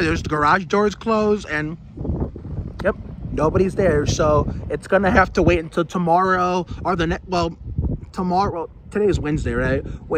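A young man chatting casually and excitably, swearing freely, into a phone at arm's length while vlogging outside. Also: on the high side, rough recording. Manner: excitable, profanity-laden. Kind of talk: casual self-filmed vlog chat. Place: outdoors on a suburban street. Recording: outdoor street noise, passing vehicles.

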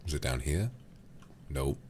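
A man streaming a game ASMR-style into a close microphone, speaking softly and intimately with frequent whispering, in an affectionate, languid manner. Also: very deep voice, animated, a little slow, a little hiss or hum. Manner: breathy, often whispered, intimate; affectionate, doting toward viewers. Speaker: livestreamer.

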